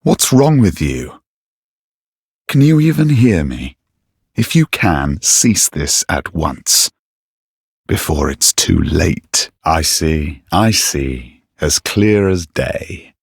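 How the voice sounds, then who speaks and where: An actor playing a sly, haughty knight-assassin in a video game, speaking in a silky, deliberate and theatrically formal manner with a gravelly edge. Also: male voice, dry recording, clean studio sound, exaggerated voice. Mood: scornful, menacing. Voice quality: low, husky, gravelly. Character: sly, menacing, haughty knight-turned-assassin, devoted to his goddess. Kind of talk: video game character dialogue.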